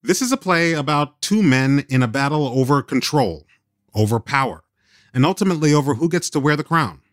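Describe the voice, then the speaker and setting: A man's voice: on the high side, lively intonation, a little fast, fairly bright tone, no room sound, clean studio sound. A man speaking as host and narrator in scripted podcast narration.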